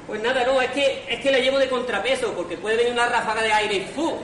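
An actor playing a hapless, jittery poor impostor in an amateur comic radio play, stammering and muttering exasperated asides. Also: male voice, very high voice, fairly even intonation, fast, fairly bright tone. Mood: wry, nervous. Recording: roomy distant stage recording, noisy audience.

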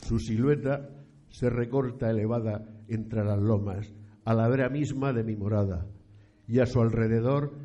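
A man playing an elderly, solemn 18th-century landowning priest in a period radio play, speaking formally and deliberately. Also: medium pitch, warm tone, close to an everyday voice. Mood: solemn, proud. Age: older. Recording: dull lo-fi sound.

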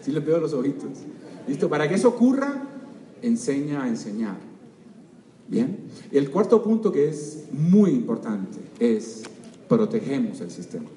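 An older man with a Cuban accent giving an energetic motivational seminar talk, constantly quizzing his audience. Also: mid-range voice, moderate pace, slight background noise. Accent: Cuban Spanish. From Cuba. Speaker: seminar speaker. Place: large auditorium. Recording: echoey hall, distant mic, faint crowd.

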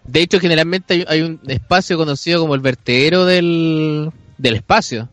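Higher-voiced Chilean man, bantering over music. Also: normal speed, a bit bright, no room sound. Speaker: radio show panelist. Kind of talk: casual comedic banter.

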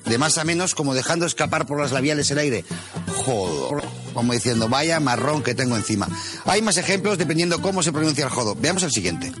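A man's voice: a little high, expressive, brisk. A man giving a humorous mock-didactic language lesson as a presenter. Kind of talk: humorous mock-lecture narration. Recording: music bed behind voice.

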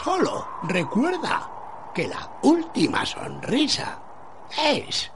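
Radio parody role: cheerful condemned philosopher acted out by a performer, goading, wildly swooping pitch, slow theatrical phrasing, noisy recording. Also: huge pitch swings, exaggerated voice. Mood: sardonic cheer.

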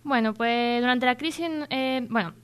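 Clear, higher-pitched woman reading radio news informally. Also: normal speed. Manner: conversational, stumbles and self-corrects while reporting.

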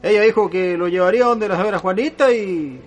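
A man's voice: high-pitched, a little fast, natural voice. A man playing a humble, stubborn peasant in a radio drama, with a rural Chilean accent and a rustic, deferential way of talking. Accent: rural Chilean Spanish. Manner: rustic, deferential, trailing tags.

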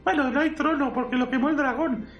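High, stammering timid priest. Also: male voice, a little fast, dull audio. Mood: hesitant explaining. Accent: Spanish from Spain. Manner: stammering, hesitant, apologetic. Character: timid, rambling priest, caretaker brother of a fallen conqueror. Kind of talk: amateur parody audio drama. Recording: roomy, distant mic, music underneath.

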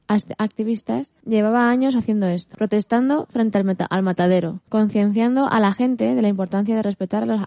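A woman presenting on radio, reading a scripted report in a slightly halting way. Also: medium pitch, mellow, dry recording. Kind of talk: scripted news-style reading. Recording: dull, lo-fi sound.

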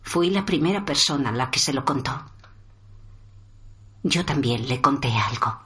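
An actress playing a sombre, wounded woman in a radio drama, speaking solemnly and deliberately. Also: very deep voice, lively intonation, sharp tone, full character voice. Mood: sorrowful, bitter. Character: wounded, sombre woman, survivor of violent abuse. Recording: roomy echo under music.

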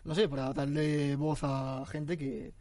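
Podcast host, male, accent from Spain, higher-pitched, dry sound, chatty street-casual interviewing. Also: little pitch movement, takes it slowly, a little mellow, no room sound. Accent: Spanish from Spain. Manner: informal, slangy, peppered with tag questions.

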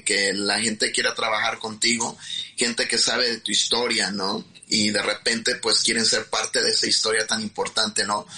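A man's voice: on the low side, sharp tone. A middle-aged Mexican chef and restaurant owner answering interview questions in a candid, rambling way with a Mexican Spanish accent, often tagging his sentences with questions.

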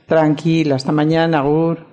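A woman's voice: sits low, flat delivery, normal speed, mellow, dull audio, natural voice. A woman with a Spanish accent playing a firm, defensive lawyer in an amateur radio soap opera. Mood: reassuring. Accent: Spain.

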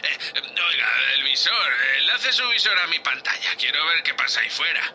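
A man playing an eager, cheeky young spaceship crewman in an audio drama, chatty and excitable. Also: fairly high pitch, brisk, loud music behind the voice, close to an everyday voice. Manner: excitable, chatty, playfully impudent. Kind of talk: audio fiction acting.

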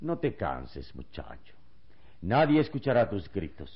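Calm, wise adventure hero in vintage radio drama; very low voice, deliberate delivery, dull lo-fi recording. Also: male voice, creaky, heavily acted. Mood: calm, reasoning. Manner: calm, deliberate, reassuring elder to a boy. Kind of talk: vintage Spanish-language radio serial acting.

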